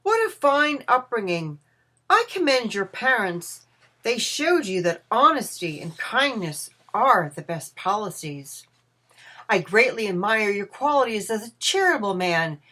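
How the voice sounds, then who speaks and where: A woman's voice: mid-range voice, very animated, unhurried, pushed and bright, voice pushed up, heavily acted. A woman voicing a gracious, approving female saint in a storybook play, with a stately, declarative manner. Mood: admiring.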